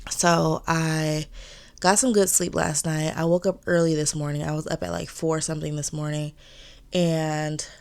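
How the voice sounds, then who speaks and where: A woman's voice: sits low, a little mellow, clean tone. A young woman vlogging in a casual, chatty way, sounding congested and stuffy, rambling and repeating herself. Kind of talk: casual vlog chat.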